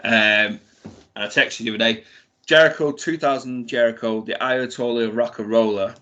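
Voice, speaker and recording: A man's voice: medium pitch, fairly even intonation, normal speed, bright, forceful tone, dull audio. A man co-hosting a casual wrestling chat, talking eagerly and often stumbling over his words. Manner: stammering restarts, enthusiastic. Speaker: podcast co-host.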